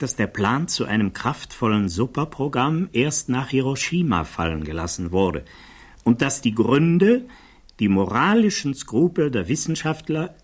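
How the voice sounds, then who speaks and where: An actor playing a formal, precise attorney who questions a witness in a German radio play. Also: male voice, mid-range voice. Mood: pointed, inquiring. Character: probing male defense attorney questioning a witness.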